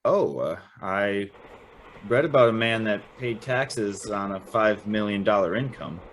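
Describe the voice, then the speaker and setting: A man's voice: lowish voice, lively intonation, unhurried, fairly bright tone. Man as eager young Southern schoolboy type, folksy and chatty, easily impressed. Radio play, slightly noisy recording. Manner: folksy, chatty, hesitant narrating and exclaiming. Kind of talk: old-time radio drama acting.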